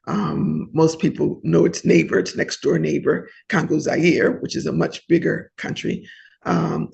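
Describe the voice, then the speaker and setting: A middle-aged woman from New Orleans, a former diplomat, recalling her career in a reflective oral history interview with many pauses and restarts. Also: low-pitched, steady tone, creaky, darkish tone, lo-fi sound, a little hiss or hum. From New Orleans, United States.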